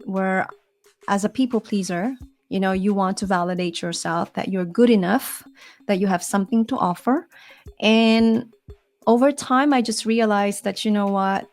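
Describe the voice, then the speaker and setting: A middle-aged woman co-hosting a talk show, speaking earnestly in a flowing, expressive way with frequent "you know"s. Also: mid-range voice. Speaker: podcast co-host. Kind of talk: reflective conversational talk.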